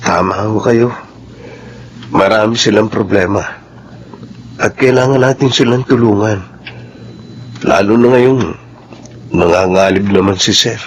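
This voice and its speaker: A man's voice: lowish voice, measured pace, pauses often, slight background noise, close to an everyday voice, low-quality recording. A man playing a respectful, loyal household employee, polite and earnest, in a Filipino radio drama. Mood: earnest, troubled.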